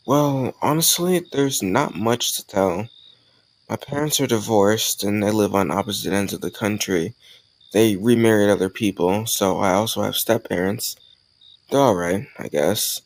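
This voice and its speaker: Man acting as an easygoing college guy, low gravelly voice. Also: lightly acted. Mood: casual, open. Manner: casual, conversational, gently teasing. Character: easygoing, teasing male college student warming to a crush. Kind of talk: intimate romantic audio roleplay, one-sided conversation.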